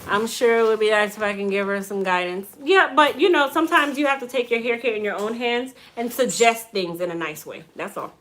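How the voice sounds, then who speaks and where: A woman's voice: on the high side, fairly quick, hardly any pauses, a bit bright. Joking woman hosting a hair live stream. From United States. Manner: joking, teasing, laughs often. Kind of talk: casual live-stream chat answering viewers.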